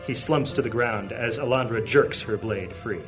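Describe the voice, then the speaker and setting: A man narrating a high fantasy audio drama as a solemn, scripted storyteller. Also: moderate pace, over music, close to an everyday voice.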